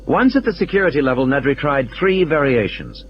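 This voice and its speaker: A man's voice: a little high, big pitch swings, brisk, muffled recording, a little hiss or hum. A man reading scripted dramatic narration as the narrator of an audio play. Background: faint ambient sound effects.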